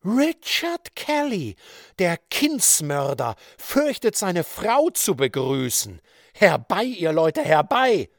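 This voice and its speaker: A man voicing a wild, vengeful woman who lashes out in scornful, high-pitched outbursts in an audiobook reading. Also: very animated, takes it slowly, creaky, no room sound, studio quality, heavily acted. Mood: mocking. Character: wild, vengeful woman, mocking and half-mad.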